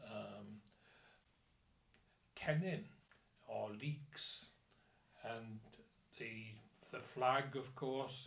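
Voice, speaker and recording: Slow, measured man in an echoey room. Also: medium pitch. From Wales. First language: Welsh. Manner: measured, thoughtful, with pauses and hesitations. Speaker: interviewee. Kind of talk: reflective interview answers. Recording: roomy echo.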